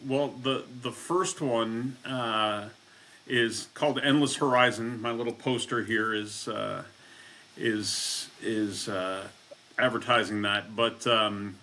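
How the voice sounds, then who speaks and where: A man, an author, answering interview questions in a measured, reflective way. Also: medium pitch, sharp tone. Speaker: author, podcast guest.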